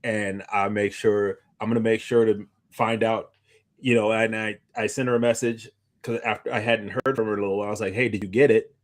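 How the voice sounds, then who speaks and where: A man's voice: on the low side, level tone, brisk. A man chatting casually as a podcast co-host, rambling through anecdotes in an informal way. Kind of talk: casual conversational chat.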